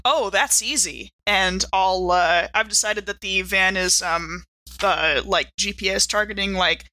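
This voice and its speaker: A woman's voice: medium pitch, unhurried, sharp tone. A woman playing a tabletop roleplaying game, slipping between casual chat and character speech, hesitantly thinking aloud.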